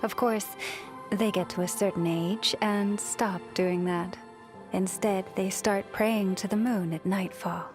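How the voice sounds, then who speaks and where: Slow, serene young moon priestess played by an actress. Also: female voice, medium pitch, fairly even intonation, faint music behind, close to an everyday voice. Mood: serene, gentle. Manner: soft, measured, reflective, breathy at phrase ends. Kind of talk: scripted video game character voice lines.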